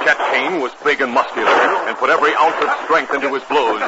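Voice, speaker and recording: American guy, old time radio announcer, polished n dramatic, muffled recording w loud noise. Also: mid-range voice, fast. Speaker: announcer and narrator. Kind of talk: 1950s radio scripted narration and advertising.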